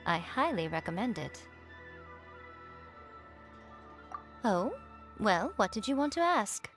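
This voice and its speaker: An actress voicing a teasing, self-assured shrine priestess in a video game, speaking with coy poise. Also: female voice, mid-range voice, big pitch swings, normal speed, music in the background, close to an everyday voice. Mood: playful.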